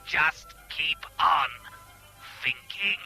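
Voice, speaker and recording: A sinister anonymous caller in a radio play, dragging out each threat very slowly. The voice is gravelly and sharply forceful, heard down a telephone with music behind it. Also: male voice, fairly high pitch, exaggerated voice. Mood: quiet menace. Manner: slow, deliberate, sinister. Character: menacing anonymous male caller, slow taunting threats. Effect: telephone filter. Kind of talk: radio drama acting.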